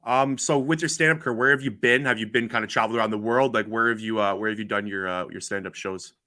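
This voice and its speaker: A man's voice: on the low side, fairly even intonation, quick pace, sharp tone, dry recording, clean studio sound. A Canadian man hosting a podcast, doing a casual conversational interview. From Ontario, Canada.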